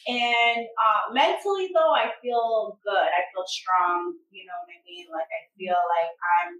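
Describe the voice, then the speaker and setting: A woman's voice: mid-range voice, a little slow, a bit bright, lo-fi sound. A woman guest on a podcast, a little stuffy and nasal, chatting casually with many fillers. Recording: echoey room, mic at a distance.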